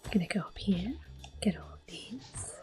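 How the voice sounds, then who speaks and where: Female ASMR gamer, breathy whisper, gentle and reassuring, close-miked, talking through gameplay over soft music. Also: medium pitch, moderate pace. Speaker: ASMR gaming host.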